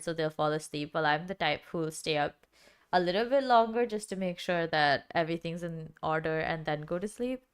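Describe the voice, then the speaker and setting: A woman's voice: lowish voice, clear, resonant tone. A young woman streamer with a dry, sick-sounding throat, chatting casually and rambling with lots of filler words. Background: background music.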